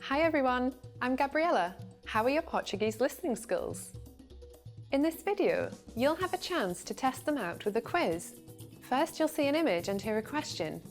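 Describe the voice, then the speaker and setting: A woman's voice: high-pitched, very animated, a little slow, faint music behind. A woman hosting a listening quiz, upbeat and encouraging as she speaks to learners. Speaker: quiz host. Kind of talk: friendly quiz introduction.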